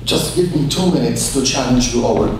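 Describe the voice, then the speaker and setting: A man's voice: medium pitch, moderate pace. A man from Syria, a native Arabic speaker with a Syrian Arabic accent, explaining didactically on a panel. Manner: didactic, questions and answers himself. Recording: echoey hall, distant mic, faint hum.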